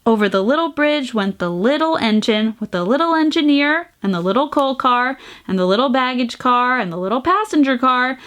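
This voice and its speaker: A woman hosting a children's storytime, reading aloud in an animated, sing-song way with exaggerated emphasis and playful sound effects. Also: high-pitched, moderate pace, few pauses. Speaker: storytime host reading a picture book aloud.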